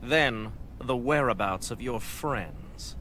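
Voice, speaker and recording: An actor playing a haughty, cruel lord in a video game cutscene, speaking in a formal, theatrical, menacing manner. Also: male voice, medium pitch, takes it slowly, a little hiss or hum, low-quality recording. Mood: coldly taunting. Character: haughty, cruel lord presiding over a public execution. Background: loud music.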